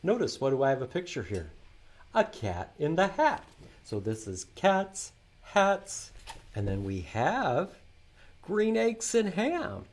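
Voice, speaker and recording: A man's voice: on the high side, huge pitch swings, takes it slowly. A man hosting a library storytime, reading aloud and explaining things to young children with lots of simple questions. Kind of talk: children's read-aloud and sing-along.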